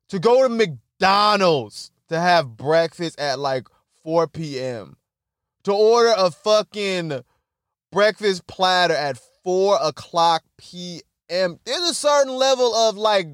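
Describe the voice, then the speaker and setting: A man's voice: high voice, a bit bright, no echo, clean studio sound. A young man hosting a podcast, rambling casually with lots of laughter and swearing, and a stutter. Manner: laughing, profane, self-mocking. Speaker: podcast host.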